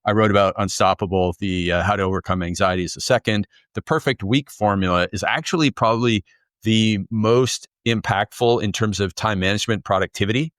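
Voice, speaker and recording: Man from canada, author guest, fluent and upbeat, low voice, dry close sound. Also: brisk, a bit bright, dry recording. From Canada, near Toronto. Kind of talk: conversational interview answers.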